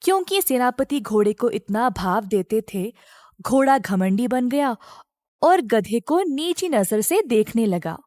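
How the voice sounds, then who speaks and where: Woman, children's storyteller, high-pitched, lively intonation, scripted narration, dry sound. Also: a little slow, no room sound.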